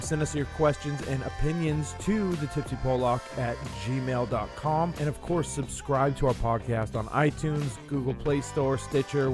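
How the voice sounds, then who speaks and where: A man hosting a comic podcast, delivering crude jokes in a mock-formal, deadpan way. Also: mid-range voice. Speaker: podcast host. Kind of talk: scripted comic podcast intro and banter.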